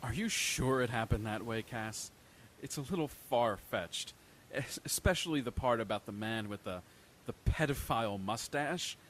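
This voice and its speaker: An actor playing a skeptical man doctor who speaks in a measured, doubtful way. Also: mid-range voice, fairly bright tone, slight background noise, close to an everyday voice.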